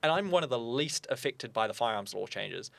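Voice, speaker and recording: A young man, speaking as an interview guest in an earnest, fluent way with quick asides. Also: medium pitch, animated, vocal fry, fairly bright tone, dry recording. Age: young adult. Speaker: guest, firearm owners' spokesperson. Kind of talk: casual interview chat.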